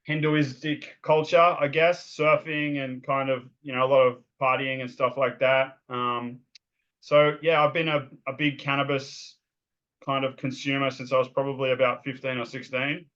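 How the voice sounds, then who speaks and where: A man's voice: mid-range voice, level tone, normal speed, fairly bright tone, dull audio. A man with an Australian accent, a guest telling his story in a loose, rambling way with lots of ums and restarts. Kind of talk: casual interview talk.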